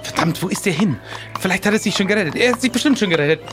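Animated, higher-voiced man role-playing. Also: racing, over music. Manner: animated, thinking aloud. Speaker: player in an improvised pirate adventure. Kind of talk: improvised role-play in an adventure audio play.

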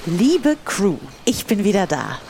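A woman's voice: medium pitch, big pitch swings, slow pace, warm tone. A woman playing a commanding, dramatic pirate captain who declaims to her crew in an audio play. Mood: solemn urgency. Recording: faint background noise.

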